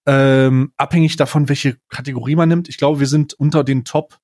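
A man hosting a casual comedic podcast, talking in a halting, informal way with bits of English slang. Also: mid-range voice, fairly even intonation, darkish tone, dry recording, studio quality. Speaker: podcast host.

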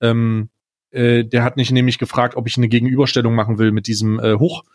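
A young man with a medium-pitched voice speaks as a podcast co-host. He gives casual, opinionated takes in blunt slang, often starting haltingly. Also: flat delivery.

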